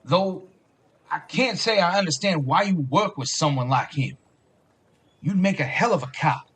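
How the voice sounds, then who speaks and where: A man's voice: fairly high pitch, lively intonation, a little slow, a bit bright, husky, heavily acted. An actor playing a blunt but caring, fatherly police sergeant in an audio drama, folksy in his talk. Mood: insistent, concerned. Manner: folksy, blunt, calls younger men kid and bub.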